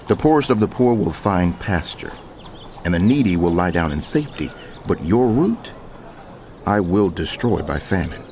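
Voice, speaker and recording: An actor playing a prophet narrator, solemn and declamatory, in dramatic scripture narration. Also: male voice, low-pitched, darkish tone, slight background noise. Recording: music under the voice.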